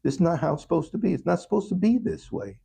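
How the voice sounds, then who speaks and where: A man's voice: fairly high pitch, big pitch swings, fast, gravelly, warm tone, breathy edge. A man speaking earnestly as an interview guest, reflecting on his songwriting and repeating phrases for emphasis. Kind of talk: reflective interview talk about own songwriting.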